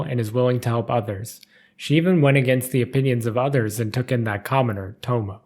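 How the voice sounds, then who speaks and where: A man narrating written lore as a storyteller, with a measured, formal read-aloud delivery. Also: mid-range voice. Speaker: narrator reading game character lore.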